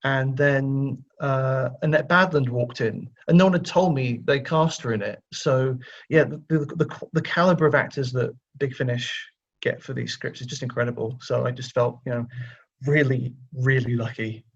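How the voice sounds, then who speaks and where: A man speaking as an interview guest in casual chat, halting and repeating words as he goes. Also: mid-range voice, fairly even intonation, muffled recording. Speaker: guest writer.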